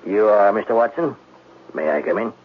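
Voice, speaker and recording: An actor in a 1970s radio drama playing a dogged police detective with a gravelly, husky voice and a blunt, probing manner. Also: male voice, fairly low pitch, moderate pace, a little hiss or hum, lightly acted. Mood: businesslike. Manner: blunt, probing, hesitant starts. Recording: thin, phone-like vintage radio recording.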